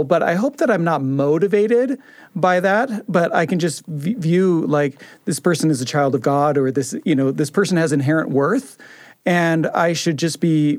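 A man with a slightly higher-than-average voice talks casually on a radio panel. He is earnest and reflective, feeling his way through sentences, and the recording is dry with little room sound. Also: a little mellow, dry recording. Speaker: radio show producer, panelist. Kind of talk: casual reflective round-table chat.

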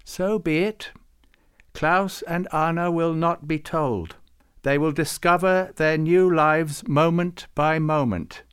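A man voicing a solemn elderly saint who speaks in a slow, intoning, ceremonial way. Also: on the high side, steady tone, smooth, voice pushed up.

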